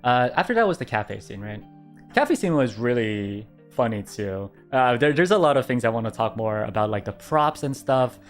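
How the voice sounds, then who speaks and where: Quiet, rambling young male streamer. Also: mid-range voice, lively intonation, moderate pace, faint music behind. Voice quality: quiet voice that does not project. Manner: rambling, repeats himself, laughs often. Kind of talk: casual livestream chat.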